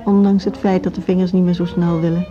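An actress playing a gentle, attentive ageing woman musician in a Dutch radio drama with a Netherlands accent. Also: on the low side, flat delivery, fast talker, natural voice. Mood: contented. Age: older. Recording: dull radio play, loud violin music.

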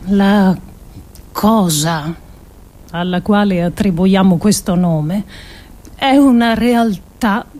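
A woman performing a scripted radio reading in a measured, deliberate, declamatory way with pauses. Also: medium pitch, warm tone. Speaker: performer reading an adapted essay.

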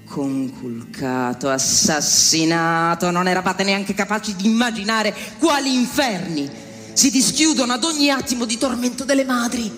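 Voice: mid-range voice, a bit bright. A theatrical accuser , as performed by an actor, declaims with outrage and bitter scorn, pressing one rhetorical question after another. The voice rings in a long hall reverb, with music underneath. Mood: anguished, accusing. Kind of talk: theatrical dramatic monologue.

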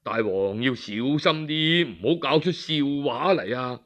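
A man with a Cantonese accent voicing a cautious, deferential chief minister in a story, speaking in a careful, respectful low voice. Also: expressive, a little slow, a bit bright. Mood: cautioning.

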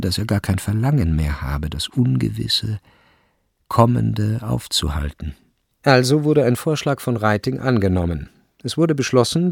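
A man with a husky, gravelly voice narrating a literary radio drama from a script. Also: mid-range voice, a little mellow, no room sound, studio quality. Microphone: close, dry studio recording.

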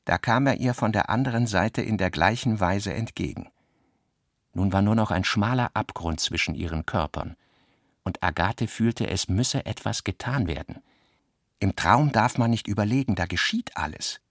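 A man's voice: on the low side, normal speed, dry recording, clean studio sound. A man reading literary prose as the narrator of a radio drama.

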